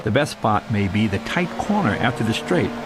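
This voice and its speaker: A man's voice: lowish voice, very animated, normal speed. A man giving scripted driving advice as a racing game coach, conversational and direct in his instructions. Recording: loud car engine noise behind voice. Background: race cars, tire squeal.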